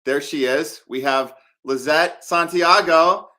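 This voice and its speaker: A man hosting a live show in a conversational, loosely unscripted way, pausing as he gathers his words. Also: on the high side, sharp tone. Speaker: show host.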